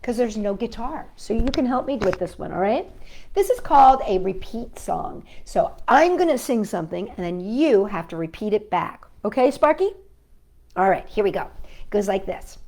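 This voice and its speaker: A woman hosting a children's storytime, reading picture books aloud and singing a call-and-response song with a puppet, in a gentle, sing-song way. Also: medium pitch, expressive, normal speed.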